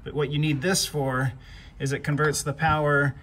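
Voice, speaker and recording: A man giving a casual hands-on DIY tutorial in a halting way, repeating words as he explains, recorded outdoors. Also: on the high side, little pitch movement, fairly bright tone. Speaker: DIY homeowner. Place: outdoors by a house front door. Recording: clear background noise, outdoor ambience.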